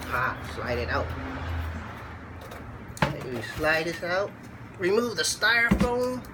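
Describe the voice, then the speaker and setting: A stuffed-up vlogger with a small cold hosts a relaxed unboxing, talking slowly and pausing often on fillers. The voice sits in a middle register and is heard over steady background noise. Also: medium pitch. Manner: halting, lots of fillers, chats to the dogs; slow, laid-back. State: congested from a cold. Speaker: channel host unboxing a computer. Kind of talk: casual unboxing vlog.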